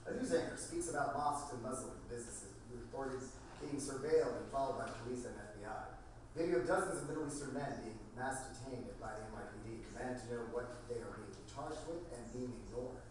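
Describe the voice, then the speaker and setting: A man reading stage directions as scripted narration at a table read, halting now and then over the script. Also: medium pitch. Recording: very echoey room, distant mic, faint noise.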